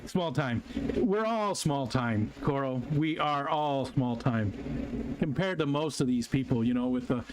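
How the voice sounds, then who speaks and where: A man's voice: mid-range voice, animated, a little slow. A man hosting his own live stream, chatting casually with viewers in a rambling way, with lots of fillers and restarts. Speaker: livestream host. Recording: noticeable background noise.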